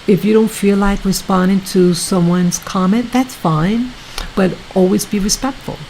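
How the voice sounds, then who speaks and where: A woman hosting her own video channel, talking casually to her viewers in a soft, soothing voice, halting often and repeating herself. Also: medium pitch, slight background noise, low-quality recording. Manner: slow, repetitive, halting with many restarts. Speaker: YouTube channel host.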